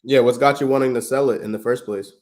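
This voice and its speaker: A man from Trinidad, hosting a livestream and making a relaxed, friendly sales call to a property owner, full of slang and quick restarts. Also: medium pitch. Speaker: real estate investor, livestream host. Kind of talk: casual livestream chat and sales phone call.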